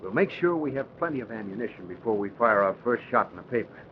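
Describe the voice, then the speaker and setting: A man's voice: fairly low pitch, animated, fast, a little hiss or hum, lightly acted. A man acting a breezy, slangy, wisecracking crime reporter in a 1940s radio drama. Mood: wry, breezy. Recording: muffled old radio recording, crowd murmur.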